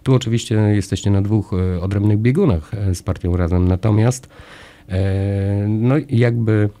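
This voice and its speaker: A middle-aged man hosting a radio interview in a small studio, speaking close into a desk microphone. His voice sits very low and sounds dark and mellow. He asks long, winding questions and builds them out of everyday comparisons. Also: normal speed. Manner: long, discursive questions with analogies. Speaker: radio host. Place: small radio studio with orange acoustic panels. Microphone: studio mic on a desk arm, wearing headphones.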